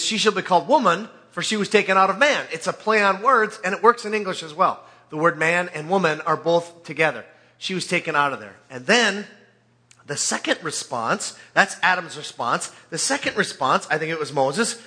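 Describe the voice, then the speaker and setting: Bright, forceful male preacher, informally teaching. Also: sits high. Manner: colloquial, animated storytelling with joking asides. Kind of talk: informal conversational sermon with scripture readings.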